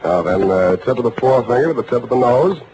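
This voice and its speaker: An actor playing a cocky, wisecracking hard-boiled private detective in a 1940s radio drama, with glib, dry banter. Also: male voice, medium pitch, animated, quick pace, lightly acted. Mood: teasing, mock-official. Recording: noisy vintage 1940s radio broadcast.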